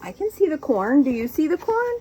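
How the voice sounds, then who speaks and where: A woman reading picture books aloud to young children in an expressive, sing-song, encouraging way, often putting questions to her listeners. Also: high voice, fairly quick. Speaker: children's storytime reader and teacher.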